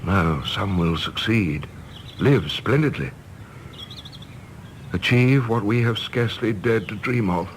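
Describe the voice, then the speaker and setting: A man's voice: fairly low pitch, animated, takes it slowly, long pauses, slight background noise. An actor playing an earnest, wistful middle-aged English man with idealistic hopes, in a radio play. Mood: wistful, reflective.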